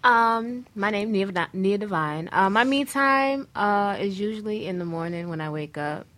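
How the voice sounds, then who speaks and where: A woman's voice: medium pitch, lively intonation, unhurried, fairly bright tone. A woman singer speaking as a guest in a casual discussion, thoughtful and a little hesitant to start.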